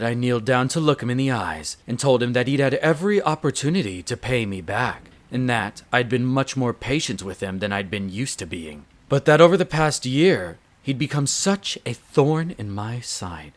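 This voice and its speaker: A man's voice: mid-range voice, lightly acted. A man playing a haughty, urbane vampire master in an audio roleplay, condescending and coolly menacing, speaking with theatrical relish. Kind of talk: dramatic audio roleplay narration.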